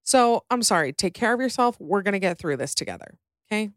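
A woman's voice: medium pitch, expressive, fairly quick, no echo, studio quality. A woman podcast host reacting to listener voicemails in a chatty, wry and joking way. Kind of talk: casual chat reacting to voicemails.

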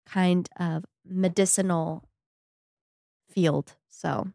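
A woman's voice: lowish voice, fairly even intonation, extremely slow, vocal fry, warm tone, dry recording. A young woman with a cold chats on her podcast, her voice nasal and stuffed up. She talks loosely and laughs a lot. The recording is close and dry. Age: young adult. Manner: chatty, laughs often. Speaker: podcast co-host. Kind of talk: casual chat.

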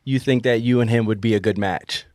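A man's voice: medium pitch, steady tone, a little fast, darkish tone, dry recording, studio quality. A man hosting a call-in segment on a comedy podcast, joking and teasing. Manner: playful, teasing, laughs a lot.

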